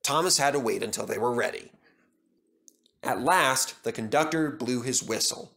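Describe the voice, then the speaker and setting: A man's voice: mid-range voice, normal speed, creaky, fairly bright tone. A man reading a scripted children's story as its storyteller.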